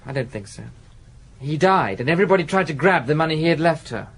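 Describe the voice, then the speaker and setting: A man's voice: a little high, animated, quick pace, a bit bright. An actor playing a sullen, evasive man in his late twenties, a defensive gigolo and addict in a radio drama. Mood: evasive, bitter.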